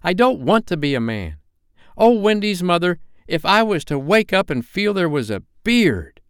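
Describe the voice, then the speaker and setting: A man's voice: sits high, animated, unhurried, smooth, no echo, studio quality, voice pushed up. A man voicing a cocky, stubborn boy who refuses to grow up, bold and blunt, in a storybook reading. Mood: passionate, defiant.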